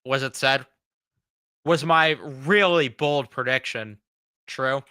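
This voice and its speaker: Young male fan-show host with a cold: very bright, crisp voice, blunt and profane. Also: medium pitch, slow, no room sound, clean studio sound. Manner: blunt, frustrated, swears freely. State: fighting a cold. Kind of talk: casual reaction commentary.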